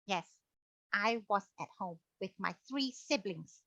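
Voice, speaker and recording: A woman voicing a cheeky young female lamb puppet with big glasses, talking in a playful, quick, squeaky way over a video call. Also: lively intonation, sharp tone, no room sound. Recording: dull lo-fi video call audio.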